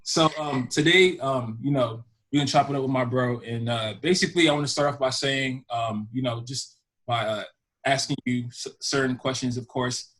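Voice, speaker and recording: A man's voice: mid-range voice, moderate pace, fairly bright tone. A young man hosting a podcast, chatting casually and rambling with lots of fillers. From Houston, Texas. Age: young adult. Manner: rambling, full of fillers like "you know". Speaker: podcast host. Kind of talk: casual chat.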